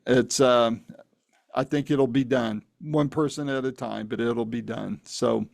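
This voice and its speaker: A man's voice: mid-range voice, fairly even intonation, unhurried, creaky, darkish tone, no room sound. Chatty host man, dry close sound. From Phoenix, Arizona area. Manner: friendly, chatty, says "man" often. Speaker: interview host. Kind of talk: casual interview conversation.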